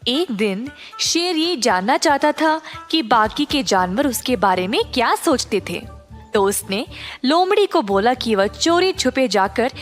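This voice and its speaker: High-voiced, slow woman storyteller. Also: animated, soft background music. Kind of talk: scripted children's story narration.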